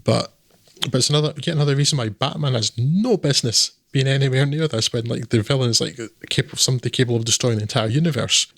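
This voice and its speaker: A man's voice: mid-range voice, quick pace, gravelly, no room sound. A man co-hosting a podcast, chatting casually about films in a rambling, dismissive way with lots of fillers. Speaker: podcast co-host. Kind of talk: casual film review chat.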